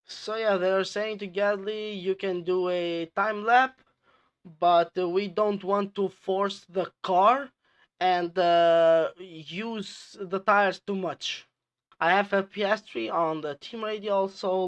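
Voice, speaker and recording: A man who is a non-native English speaker hosting casual commentary, explaining things in a halting, repetitive way. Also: high-pitched, no echo. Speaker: host reviewing team radio clips.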